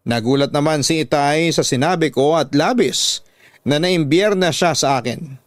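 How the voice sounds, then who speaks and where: A man's voice: fairly high pitch, normal speed, no room sound, clean studio sound. A man with a Filipino accent hosting and narrating dramatic stories as on a radio drama programme.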